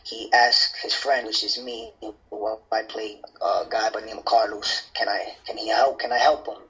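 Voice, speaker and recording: Raspy, forceful man on muffled audio. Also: fairly low pitch, fairly even intonation, normal speed, soft background music. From New Jersey, United States. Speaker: interview guest, actor. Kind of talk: casual conversational interview. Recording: dull, muffled lo-fi sound.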